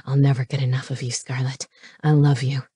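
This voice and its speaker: A woman voicing a possessive yet tender male lover in a romance audiobook, with a breathy, growling delivery. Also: very deep voice, flat delivery, a little fast, mellow, dry recording, studio quality, voice pushed down, full character voice. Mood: adoring.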